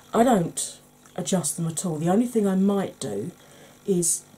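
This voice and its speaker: Soft-whispering Welsh woman, mellow voice. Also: lowish voice, a little hiss or hum. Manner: soft, often whispered, chatty and mildly sarcastic. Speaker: beauty YouTuber. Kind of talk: ASMR makeup tutorial. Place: indoors at home, face in close-up.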